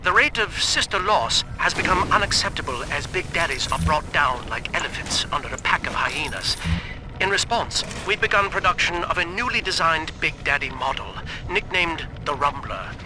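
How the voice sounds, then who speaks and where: An actor playing a cool weapons researcher in a video game, giving a formal, dryly clinical report. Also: male voice, fairly high pitch, lively intonation, moderate pace, background noise, close to an everyday voice. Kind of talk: scripted audio report.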